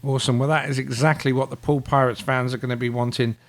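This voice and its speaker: A man's voice: mid-range voice, flat delivery, fast. A man hosting an interview, reading out fan questions in an upbeat, friendly way.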